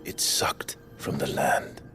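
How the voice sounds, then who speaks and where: An actor playing a grave, resolute rebel leader in a video game, speaking in a measured, stern with a breathy undertone. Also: male voice, close to an everyday voice. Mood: grave, insistent. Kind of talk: dramatic game dialogue. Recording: music behind.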